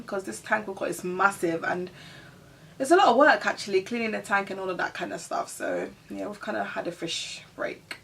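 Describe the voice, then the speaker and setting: A woman's voice: medium pitch, creaky, fairly bright tone, slight background noise. A woman from Ghana chatting casually as a vlogger, rambling with lots of "like" and "you know". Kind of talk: casual get-to-know-me chat. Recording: voice a bit distant from the mic.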